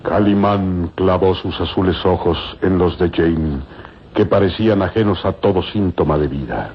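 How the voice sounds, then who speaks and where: An actor as a solemn storyteller, narrating a radio adventure serial in a grave, measured way with a Mexican accent. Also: male voice, low-pitched, a little hiss or hum, natural voice. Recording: muffled old radio recording with music.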